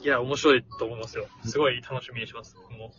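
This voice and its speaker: A man co-hosting a casual online radio chat, often throwing in quick repeated agreements. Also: mid-range voice, steady tone. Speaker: co-host of an amateur online radio talk show. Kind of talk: casual two-person chat. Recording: dull lo-fi audio with music behind. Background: background music.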